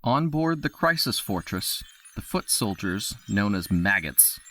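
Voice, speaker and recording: A man's voice: fairly low pitch, big pitch swings, unhurried, over loud music. A man narrating an audio drama from a script in a storytelling role.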